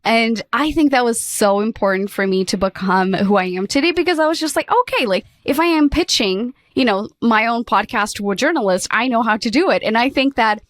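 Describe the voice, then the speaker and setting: A woman's voice: on the high side, no room sound. Chatty woman, dry sound. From Portugal. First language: Portuguese. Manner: chatty and upbeat, many "like" fillers. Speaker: podcast guest. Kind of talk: casual interview chat.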